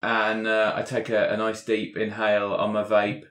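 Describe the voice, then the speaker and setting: Lowish-voiced man describing his game moves. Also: monotone, normal speed, fairly bright tone. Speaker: player in a tabletop roleplaying game. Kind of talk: casual in-game description of actions.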